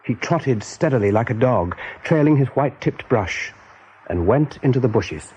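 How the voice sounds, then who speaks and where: A man narrating an animal adventure as a calm storyteller in a radio play. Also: mid-range voice, normal speed, dull audio, slight background noise, natural voice. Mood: measured.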